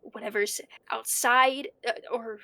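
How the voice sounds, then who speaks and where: A woman's voice: a little high, steady tone, slow pace, pushed and bright, dry recording, heavily acted. A woman playing a panicky, conscience-stricken young student in an audio drama, stammering and rambling anxiously. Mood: haunted, uneasy. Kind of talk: audio drama monologue, naturalistic.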